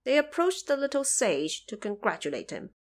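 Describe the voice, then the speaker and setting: A woman's voice: on the high side, sing-song, normal speed. A woman narrating a scripted audio drama, telling the story as a narrator.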